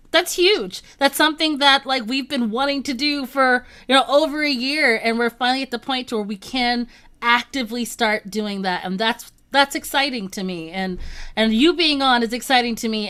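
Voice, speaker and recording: A woman's voice: on the high side, fairly bright tone, clean tone. A woman co-hosting a casual live online chat in an upbeat, emphatic way. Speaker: talk show co-host.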